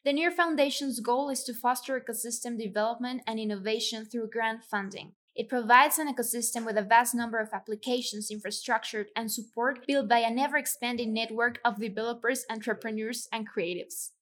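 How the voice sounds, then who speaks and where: A woman's voice: on the high side, steady tone, brisk, hardly any pauses, fairly bright tone. A woman hosting a podcast, reading a scripted introduction and asking interview questions in a formal, polite way. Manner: formal, polite, read-aloud delivery. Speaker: podcast host.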